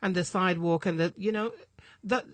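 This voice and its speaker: A woman's voice: lowish voice, brisk, no echo. A woman from England hosting an interview, asking thoughtful questions with an English accent. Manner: thoughtful questioning, supportive murmurs. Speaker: host. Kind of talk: casual interview.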